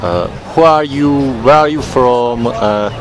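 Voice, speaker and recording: A man's voice: medium pitch, takes it slowly, over music. A man asking passersby questions in a street interview, with short and tentative prompts full of fillers.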